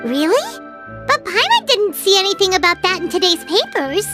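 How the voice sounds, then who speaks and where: A woman voicing a small, curious, chatty fairy companion in a video game, squeaky and childlike, exclaiming as she talks. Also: wildly swooping pitch, moderate pace, sharp tone, full character voice. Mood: surprised, puzzled. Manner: exclamatory, refers to self in third person. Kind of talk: animated game voice acting. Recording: music behind the voice.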